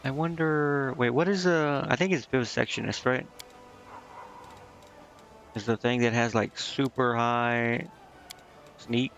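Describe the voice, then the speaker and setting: A man's voice: mid-range voice, steady tone, slow pace, vocal fry, long pauses, a bit bright, dull audio. A man doing casual video game commentary as a streamer, thinking aloud in a rambling, hesitant way. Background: game music.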